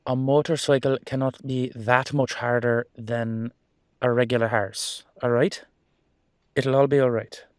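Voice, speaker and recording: A man's voice: medium pitch, flat delivery, a little slow, no echo, studio quality, lightly acted. A man playing a cheeky, easygoing immortal headless horseman in a roleplay audio drama, talking in a casual, rambling, reassuring way. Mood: reassuring, slightly nervous.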